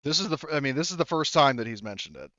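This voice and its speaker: A man acting as game master, explaining a tabletop roleplaying game in a halting way, often repeating words. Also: mid-range voice, lively intonation, sharp tone, no echo, highs cut off.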